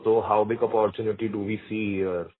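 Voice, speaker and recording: A man with an Indian accent phones in as an analyst to put questions. His voice sits fairly low, with informal, deferential wording. The line is narrow and phone-like. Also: flat delivery, fairly quick. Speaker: investment analyst asking questions.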